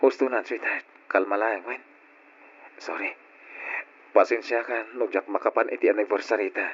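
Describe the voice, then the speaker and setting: An actor playing a patient, burdened grown son who cares for his ailing parents, speaking gently and earnestly in an old-time radio drama style. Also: lowish voice, fairly even intonation, moderate pace, breathy edge. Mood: pleading. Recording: dull lo-fi radio drama recording.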